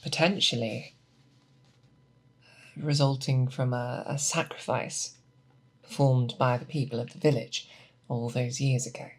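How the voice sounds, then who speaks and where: A woman playing a seasoned, patient monster hunter in an ASMR roleplay, speaking softly and thoughtfully to an apprentice, close to the mic. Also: very deep voice, steady tone, slight background noise. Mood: musing, grave. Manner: deliberate, hesitant, thoughtful explaining. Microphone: close binaural microphone.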